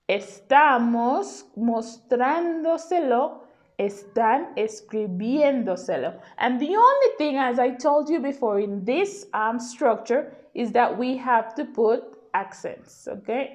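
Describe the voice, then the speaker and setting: A woman's voice: a little high, animated, takes it slowly. A woman language teacher giving an informal grammar lesson, explaining patiently and often checking in with the learner.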